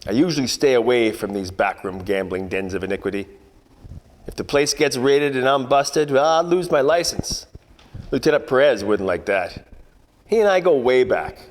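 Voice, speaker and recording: An actor playing a world-weary, wisecracking 1940s private detective, narrating in hardboiled radio-play style with deadpan asides. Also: male voice, mid-range voice, in a large hall, lightly acted. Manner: wry, colloquial, deadpan asides.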